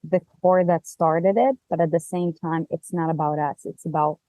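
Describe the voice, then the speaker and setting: Woman guest, Hebrew speaker, purposeful and explanatory; low, mellow voice, dry sound. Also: steady tone, moderate pace, no echo, poor audio. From Israel. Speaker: guest, business co-founder. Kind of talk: conversational interview.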